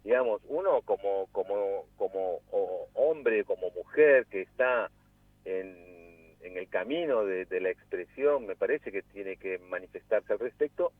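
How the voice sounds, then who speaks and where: Low-voiced man on a tinny phone line. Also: animated, unhurried, no room sound. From Peru, raised in Cusco. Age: around 60. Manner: reflective, searches for words with many repeats. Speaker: guest actor. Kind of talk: radio interview by phone.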